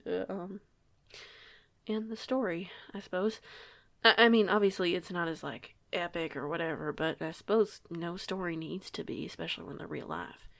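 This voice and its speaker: A woman's voice: fairly low pitch, creaky. A young woman playing an eager, awkward werewolf newcomer in an audio roleplay, talking in a hesitant, rambling, informal way. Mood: bashful. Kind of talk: audio roleplay acting, casual dialogue.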